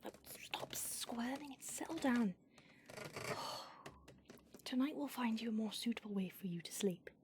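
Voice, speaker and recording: A woman's voice: on the high side, expressive, a little slow, pauses often. A woman voicing an aloof, prickly female vampire who is centuries old, speaking in a dry, sardonic whisper for an audio roleplay. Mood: irritated.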